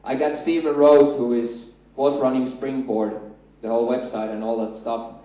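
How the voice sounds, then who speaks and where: A man's voice: medium pitch, flat delivery, normal speed, mellow. A man in his thirties with a Finnish accent, a native Finnish speaker, giving a chatty, self-deprecating conference talk with a handheld mic on a stage. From Finland. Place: large hall on a stage. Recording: echoey hall, far from the mic.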